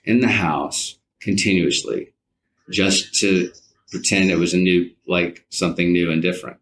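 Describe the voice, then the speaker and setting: A man from the United States speaks as an interview guest, reflecting conversationally in a halting, thoughtful way. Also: low voice, a bit bright, poor audio. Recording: clear background noise, other audio playing.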